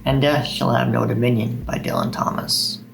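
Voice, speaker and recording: Man, gravelly fry in the voice, medium pitch, poetry video host, faint background music. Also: huge pitch swings, moderate pace, rough recording. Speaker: video host reading poems. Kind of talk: poetry reading.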